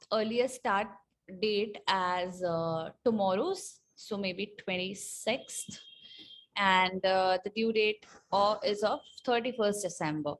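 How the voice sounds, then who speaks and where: A woman presenting an unscripted software tutorial, explaining step by step in a somewhat halting, hesitant way. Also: medium pitch. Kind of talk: unscripted screen-share walkthrough.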